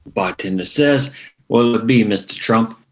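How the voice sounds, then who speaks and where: A man's voice: lowish voice, normal speed, natural voice. A man playing a cocky, crude mob boss with a brash manner in a screenplay table read. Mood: jovial, joking.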